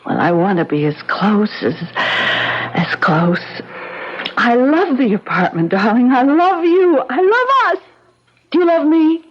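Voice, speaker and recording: Adoring young bride, muffled radio. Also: female voice, high-pitched, very animated, takes it slowly, exaggerated voice. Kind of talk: radio drama acting. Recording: muffled, noisy old radio broadcast.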